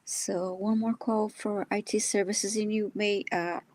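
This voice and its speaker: A woman co-hosting a live stream in casual chat, with a hesitant, halting way of talking. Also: medium pitch, little pitch movement, darkish tone, a little hiss or hum.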